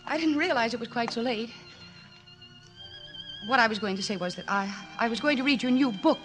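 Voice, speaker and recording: A woman's voice: on the high side, a little fast, over music, lightly acted, rough recording. An actress playing an earnest, nervous but composed woman doctor in love, in a 1940s radio drama style. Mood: nervous, hesitant. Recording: restored old radio broadcast.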